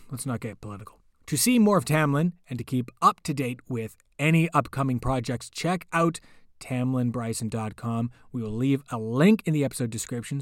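A man's voice: mid-range voice, dry recording, studio quality. Chatty man introducing a reader. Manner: chatty, rambling asides with fillers. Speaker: podcast host. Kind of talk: casual spoken introduction.

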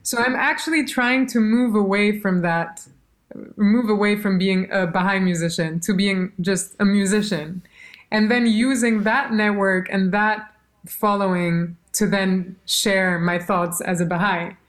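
Brief: woman musician guest, German first language, animated storytelling, mid-pitched voice. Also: unhurried. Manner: animated storytelling, quotes others, laughs. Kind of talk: casual interview conversation.

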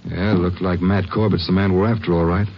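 An actor playing a Texas Ranger's watchful, plain-spoken partner in a 1950s radio western. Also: male voice, low-pitched, steady tone, a little fast. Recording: muffled, noisy old radio recording.

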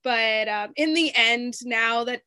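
Brief: woman guest, native Arabic speaker, higher pitch, dry close sound, candid and laughing. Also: takes it slowly, pushed and bright, clean tone, no echo. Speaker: musician guest. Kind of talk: podcast interview.

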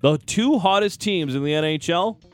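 Higher-voiced male sports host over music. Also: animated, normal speed. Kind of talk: hockey recap, casual banter. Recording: music playing behind the voice. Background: music bed.

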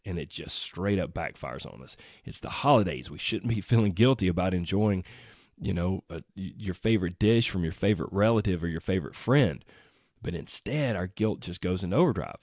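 A man hosting his podcast alone, his voice hoarse after being sick, talking in an upbeat, earnest way with frequent exclamations. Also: low-pitched, dry recording, studio quality. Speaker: podcast host. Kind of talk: solo podcast talk.

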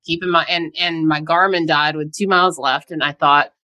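Low-voiced woman telling an animated story. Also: normal speed, dry recording, studio quality. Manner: animated, laughing storyteller who acts out quotes. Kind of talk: casual chat, anecdote.

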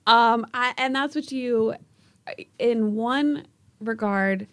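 A woman's voice: a little high, takes it slowly, no room sound. A woman sports reporter chatting casually on a studio panel, informal and upbeat. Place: podcast studio.

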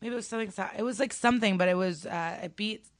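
A woman's voice: medium pitch, racing, no room sound. A young woman from Ukraine, a stand-up comedian, chatting casually as a podcast guest and telling stories with many fillers.

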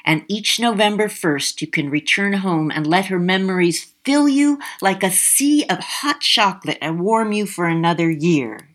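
A woman voicing a gentle, kindly ghost boy who guides the dead, speaking softly and tenderly. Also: mid-range voice, moderate pace, hardly any pauses, pitched above the performer's own voice, close to an everyday voice. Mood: soothing. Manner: soft, tender, soothing.

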